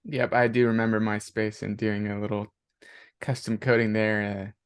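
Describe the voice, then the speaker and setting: A man's voice: fairly low pitch, flat delivery, normal speed, clear, resonant tone, no room sound, clean studio sound. A man leading introductions at the start of an online class, giving short friendly replies over a video call. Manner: friendly, brief acknowledgments. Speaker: course instructor.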